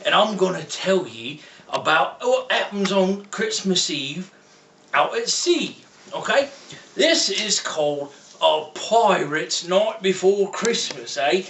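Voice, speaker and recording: A man's voice: sits high, expressive, slow pace, fairly bright tone, a little hiss or hum, exaggerated voice. A man voicing a jolly old pirate, Santa's seafaring cousin, reading a storybook aloud in folksy pirate slang, tagging his lines with "eh?". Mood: jovial. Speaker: storybook reader. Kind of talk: in-character read-aloud. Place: room, reading to a computer. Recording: roomy, mic at a distance.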